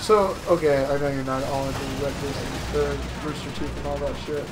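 A man's voice: mid-range voice, fairly even intonation, a little fast, noisy background. A young man chatting casually with a friend during a co-op game, full of questions. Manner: chatty, asks lots of questions. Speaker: co-op player. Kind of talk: casual co-op chat. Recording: gunfire clearly heard behind.

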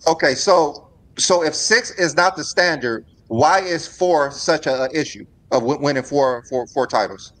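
A man's voice: a little high, moderate pace, hardly any pauses, a bit bright, no room sound. A man hosting a debate on a video call, pressing with probing questions and cutting in by repeating himself. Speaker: host. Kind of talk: debate moderation.

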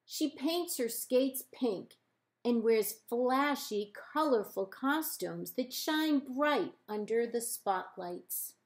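A woman librarian reading a children's picture book aloud in measured, deliberate phrases with pauses. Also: fairly high pitch, expressive. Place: indoors, book held up to camera.

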